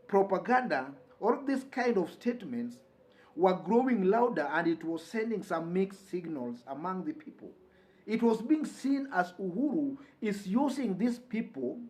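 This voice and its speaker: A man's voice: high voice, darkish tone. A man with a Kenyan accent hosting political news commentary, speaking emphatically and repeating key phrases for weight. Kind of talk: news commentary to camera or listeners.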